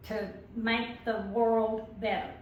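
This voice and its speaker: A woman's voice: on the high side, level tone, slow, phone-quality sound, slight background noise. A woman in her eighties speaking earnestly and candidly about her upbringing and caring for people. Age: 80s. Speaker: interviewee. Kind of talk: casual reflective talk. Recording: very echoey room, distant mic.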